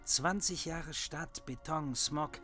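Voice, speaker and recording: A man's voice: a little high, takes it slowly, music in the background. An actor playing an enthusiastic middle-aged father in an audio drama, jovial and theatrical. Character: enthusiastic, breezy family father. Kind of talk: acted horror audio drama dialogue.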